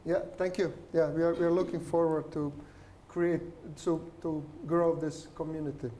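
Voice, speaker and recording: A man's voice: a little high, little pitch movement, mellow. A man hosting a local startup event, asking questions with frequent restarts. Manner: halting, with restarts. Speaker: event host, interviewer. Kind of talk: interview questions. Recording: noticeable background noise.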